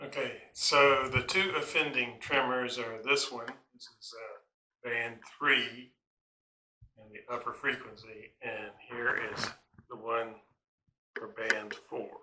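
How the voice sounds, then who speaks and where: A man giving a casual, unscripted repair walkthrough as a hobbyist host, in a halting, hesitant way with pauses. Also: mid-range voice, steady tone, sharp tone, slight background noise, low-quality recording. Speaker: radio repair hobbyist hosting a how-to video.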